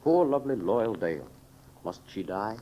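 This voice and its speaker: A man's voice: fairly low pitch, big pitch swings, moderate pace, mellow, slight background noise, low-quality recording. A man announcing and narrating in scripted 1930s radio serial style, with a dramatic, emphatic delivery, on an old recording.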